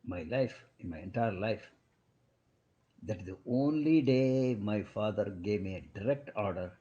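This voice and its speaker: Older Indian man, Kannada first language; halting, digressive storyteller with a dark, mellow tone. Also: lowish voice, expressive. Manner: halting, digressive, many restarts. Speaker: medical doctor guest. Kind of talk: casual life-story telling. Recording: dull lo-fi recording.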